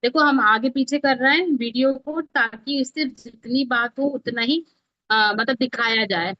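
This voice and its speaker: A woman's voice: sits high, measured pace, bright, forceful tone, no room sound. An Indian woman giving an informal live step-by-step tutorial as an online instructor, checking in with her learners as she goes. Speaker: online instructor teaching mobile video editing. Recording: dull, lo-fi, close dry audio.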